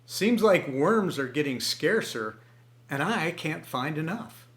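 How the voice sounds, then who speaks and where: A man voicing a vain, hungry male rooster who grumbles gruffly in a storybook reading. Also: fairly high pitch, expressive, slow, a bit bright, full character voice. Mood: grumbling. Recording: echoey room.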